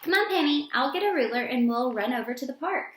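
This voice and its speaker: A woman's voice: high voice, lively intonation, fast talker, a bit bright, a little hiss or hum. A woman voicing an eager, playfully bossy schoolgirl in a children's storybook read-aloud. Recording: roomy, echoing sound.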